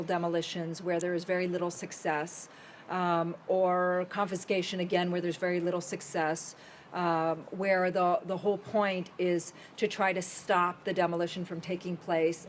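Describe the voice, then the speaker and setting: A woman speaking on a conference panel, organized, brisk and pointed as she lays out her points. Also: fairly low pitch, slight background noise. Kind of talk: panel discussion remarks. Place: conference hall.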